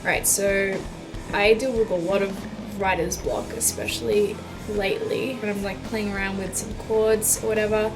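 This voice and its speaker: A woman in her twenties chatting casually in a video, answering viewer questions with frequent likes and ums. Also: medium pitch. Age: 20s. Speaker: YouTube musician. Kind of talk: casual Q and A vlog.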